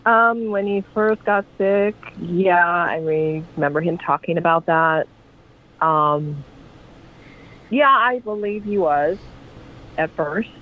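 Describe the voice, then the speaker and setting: A woman guest answering in a slow, halting, hesitant way with many pauses. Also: fairly low pitch. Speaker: guest, widow. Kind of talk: casual interview talk. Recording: tinny phone line.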